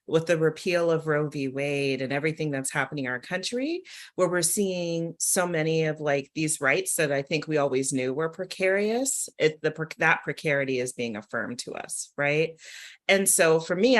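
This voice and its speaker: A woman hosting a panel, talking informally and addressing the group directly in a casual discussion style. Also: deep voice. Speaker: host and author leading a panel discussion.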